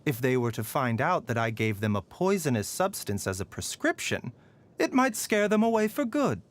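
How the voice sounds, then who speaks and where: An actor voicing a courteous, scholarly, gently sly physician for a video game, speaking in polite, measured, formal phrases. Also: male voice, mid-range voice, sing-song, close to an everyday voice. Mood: quietly sly. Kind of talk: scripted game voicelines.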